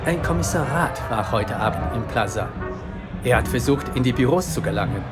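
A man's voice: medium pitch, animated, normal speed, natural voice. An actor playing a dutiful underling giving a clipped, factual report to his boss in a radio play. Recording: loud music behind the voice.

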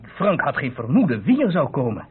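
A police inspector in a radio drama, lively and rather high in pitch. He lays things out patiently in a husky voice, on a narrow, phone-like recording. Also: normal speed, full character voice. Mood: explanatory. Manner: explanatory storyteller, chuckling asides. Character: police inspector patiently explaining a diamond theft. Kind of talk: Dutch radio play acting.

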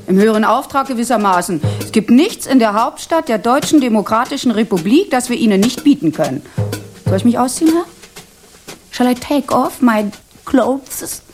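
An actress playing a brash, mercenary East Berlin prostitute in a German radio play, coarse and scornful. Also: female voice, fairly high pitch, lively intonation, normal speed, darkish tone, close to an everyday voice. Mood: proud, provocative. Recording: studio radio play with music behind.

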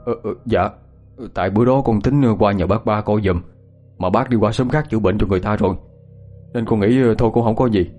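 Man acting a flustered, fretful village farmer, husky. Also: on the low side, fairly quick, darkish tone, muffled recording, soft background music, lightly acted. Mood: sheepish. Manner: flustered, hesitant, respectful. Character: village farmer, fretful, frightened, humble young father.

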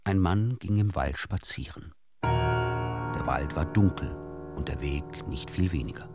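A man narrating literary short stories for radio in a theatrical, deliberate storytelling manner. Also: low voice, loud music behind the voice.

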